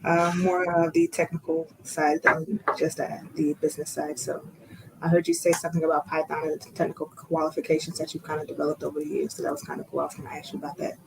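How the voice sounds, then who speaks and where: A woman's voice: sits low, little pitch movement, fast, creaky, noisy background. A woman student asking questions on a podcast call from her workplace, nervous and giggly.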